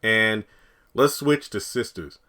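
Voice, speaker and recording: Raspy man, freshly woken, chatting. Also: fairly low pitch, slow, sharp tone. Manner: rambling, chatty, thinks aloud. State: just woken up. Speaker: TV commentary YouTuber. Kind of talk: casual speculative commentary.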